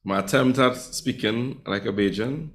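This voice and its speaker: A man's voice: medium pitch, unhurried. A man teaching a live online Spanish class, chatty and teasing with his students.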